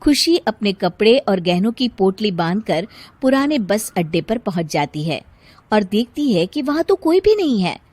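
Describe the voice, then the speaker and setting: A woman narrating a scripted cartoon story as an expressive storyteller. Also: mid-range voice, moderate pace, no echo.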